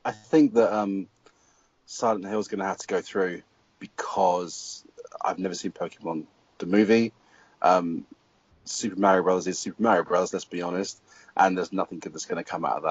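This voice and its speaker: British male guest critic, deep, husky, dry lo-fi sound. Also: steady tone, a little fast, no room sound. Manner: dry, wry judgments. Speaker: guest film blogger. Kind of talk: casual opinion chat. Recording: dry lo-fi audio.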